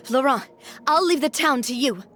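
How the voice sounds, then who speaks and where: A woman playing a resolute, warm-hearted young gang boss in a dramatic video game, speaking earnestly and with command. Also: sits high, slow pace, a little hiss or hum, heavily acted. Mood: grave, commanding. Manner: earnest, declarative.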